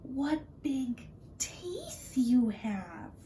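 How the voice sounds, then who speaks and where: A woman voicing a sweet, curious young girl from a children's storybook, with drawn-out wonder in her words. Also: high-pitched, big pitch swings, slight background noise, pitched above the performer's own voice, full character voice. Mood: wary. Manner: bright exclamations, careful drawn-out wonder.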